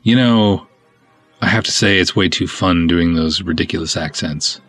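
A man's voice: sits low, moderate pace, a bit bright, soft background music. A man hosting a podcast, talking casually to his listeners as in a sign-off. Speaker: podcast host.

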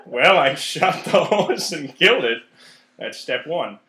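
A man's voice: fairly high pitch, animated, slow pace, sharp tone, reverberant, exaggerated voice. A man playing an eager, dim-witted schemer in an old-time radio comedy routine, literal-minded and exclamatory, recorded in a room. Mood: scheming.

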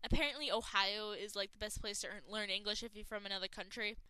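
A young woman co-hosting a podcast in casual chat, talking quickly with lots of fillers and restarts. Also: medium pitch, steady tone, bright, forceful tone, clean tone, dry recording, poor audio. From Boston area, USA. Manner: chatty, many fillers and restarts. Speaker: podcast co-host.

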